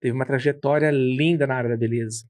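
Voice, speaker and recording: A man's voice: mid-range voice, steady tone, fast, a little mellow, dry recording. A man hosting an interview, prompting with questions and recalling shared memories. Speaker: podcast host, interviewer. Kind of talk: casual interview.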